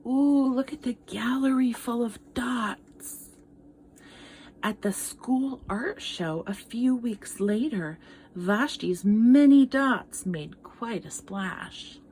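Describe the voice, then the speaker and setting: A woman art teacher reading a picture book aloud to children, expressively, pausing for asides and questions to young listeners. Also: on the high side, darkish tone, slight background noise, low-quality recording.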